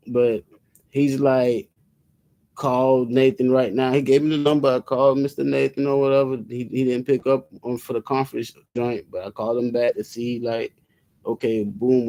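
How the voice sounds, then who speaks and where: Man, thirties, American, mid-pitched voice, relaxed slangy conversation and anecdotes. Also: low-quality recording. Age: 30s. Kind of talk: casual chat, telling an anecdote.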